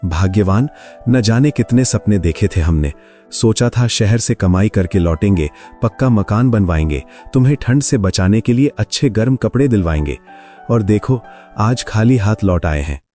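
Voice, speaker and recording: A man's voice: on the low side, lively intonation, normal speed, warm tone, faint music behind, close to an everyday voice. An actor playing a poor, dejected family father in a cartoon story, with a heavy, sorrowful manner. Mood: despairing.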